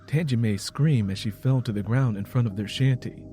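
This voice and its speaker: A man's voice: medium pitch, normal speed, mellow. A man reading a dramatic story as a scripted audiobook narration.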